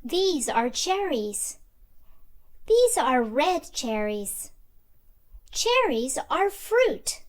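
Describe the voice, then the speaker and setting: A woman's voice: high-pitched, sing-song, very slow, long pauses, exaggerated voice. A woman voicing a small, cheerful, curious mouse puppet who teaches toddlers in simple, repetitive exclamations. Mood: delighted.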